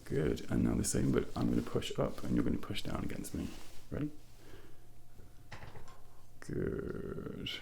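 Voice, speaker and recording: A soft-spoken man playing a doctor in an ASMR role play, murmuring gentle, reassuring instructions close to the mic and sometimes whispering. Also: mid-range voice, very animated, measured pace, gravelly, long pauses, a little hiss or hum. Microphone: close-up ASMR microphone.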